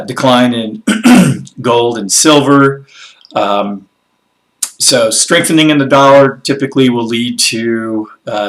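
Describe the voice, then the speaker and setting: A man's voice: mid-range voice, fairly bright tone. A man giving a casual weekly market recap as a financial advisor. He is a little congested and froggy, and he rambles with lots of fillers and blunt asides. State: slightly congested and froggy after a workout. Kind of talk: casual solo commentary on news and markets.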